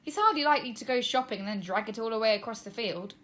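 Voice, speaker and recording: A woman voicing an excitable, indignant young girl in a children's storybook, exclaiming with impatient emphasis. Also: on the high side, fast talker. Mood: excited, impatient.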